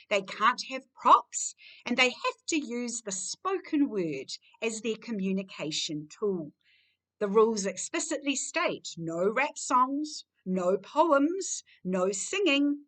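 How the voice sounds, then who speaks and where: A woman hosting a formal event, speaking in articulate, emphatic, measured phrases. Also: fairly high pitch, fairly bright tone. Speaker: host, university lecturer.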